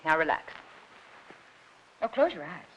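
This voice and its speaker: Spirited young nurse, vintage film romance; very animated, bright delivery, faint soundtrack noise. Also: female voice, deep voice, a little slow, lo-fi sound, full character voice. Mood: solicitous. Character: warm, spirited young female nurse, quick-witted and romantic. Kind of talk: 1940s film drama acting.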